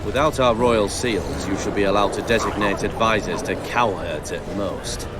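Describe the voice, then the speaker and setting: A man playing an imperious, calculating king in a fantasy game, speaking in a regal, commanding, deliberate way. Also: lowish voice, loud music behind the voice, close to an everyday voice. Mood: challenging. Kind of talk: dramatic game acting.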